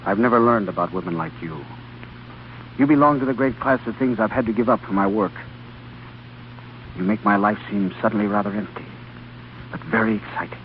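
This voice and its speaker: An actor playing an earnest, emotionally intense doctor and devoted husband, hesitant and stammering, in an old-time radio drama. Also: male voice, fairly low pitch, brisk, pauses often, a little hiss or hum, close to an everyday voice. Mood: restrained, hesitant. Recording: dull vintage radio recording, faint music.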